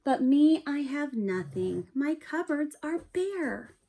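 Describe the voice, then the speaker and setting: A woman's voice: very high voice, slow, a bit bright, pitched above the performer's own voice, exaggerated voice, rough recording. A woman voicing a gloomy storybook bear who sighs that he has nothing to share. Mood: sad. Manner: sighing, downcast.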